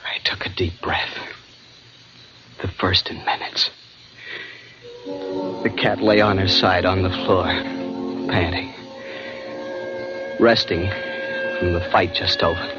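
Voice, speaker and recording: A man's voice: deep voice, fairly even intonation, slow pace, long pauses. An American actor playing a man who dramatically recounts a brush with death in 1940s radio drama style. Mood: awed, humbled. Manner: intense, dramatic delivery. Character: young male adventurer breathlessly recounting his narrow escape from death. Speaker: lead actor narrating. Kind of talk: 1940s radio drama acting, first-person narration. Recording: dull vintage radio recording, loud music.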